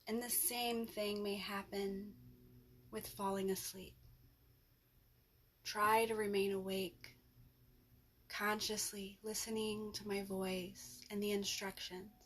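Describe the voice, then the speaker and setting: Slow-paced woman guiding relaxation. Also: medium pitch, level tone, a little hiss or hum. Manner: gentle, soothing instruction with long pauses. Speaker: yoga nidra instructor. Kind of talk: guided relaxation meditation.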